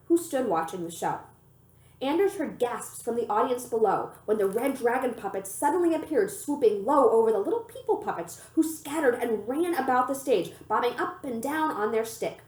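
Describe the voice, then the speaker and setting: A woman reading a children's novel aloud in an expressive, storytelling manner. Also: on the high side. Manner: animated storytelling, acts out the dialogue. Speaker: library reader of children's books. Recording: echoey room.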